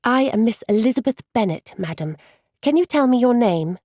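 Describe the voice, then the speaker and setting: A woman voicing a brisk, sensible young woman who takes charge in an audiobook, speaking urgently and earnestly. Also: fairly high pitch, lively intonation, a little mellow, dry recording, studio quality. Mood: relieved. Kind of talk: audiobook dialogue reading.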